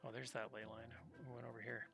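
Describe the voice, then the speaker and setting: A man's voice: medium pitch, fast talker, gravelly. Easygoing male game streamer. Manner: relaxed, easygoing, chatting with viewers. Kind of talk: casual gameplay commentary. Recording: loud game music behind.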